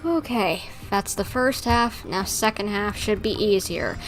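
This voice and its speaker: A woman's voice: mid-range voice, measured pace, faint music behind. A woman giving casual livestream commentary on a video game, half talking to herself as she reacts to play. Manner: muttering reactions to play, self-talk. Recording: noisy stream with game sounds.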